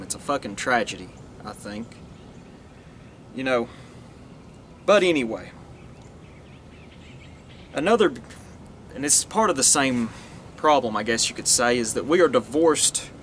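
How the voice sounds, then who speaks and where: A man's voice: mid-range voice, a bit bright. Guy rambling on about stuff, regular mid voice, bit of road noise in back. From Northeast Tennessee, USA. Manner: rambling, earnest, restarts and trails off. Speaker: vlogger. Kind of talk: casual monologue to camera.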